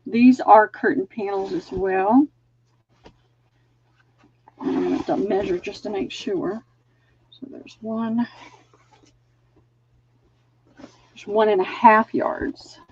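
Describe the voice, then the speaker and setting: A woman's voice: mid-range voice, fairly even intonation, takes it slowly, long pauses, darkish tone, husky. Chatty Southern woman selling fabric. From Georgia, US. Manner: chatty, folksy, describes fabric while measuring. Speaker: live sale host. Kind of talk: casual live-stream selling. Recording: dull, dry lo-fi stream audio.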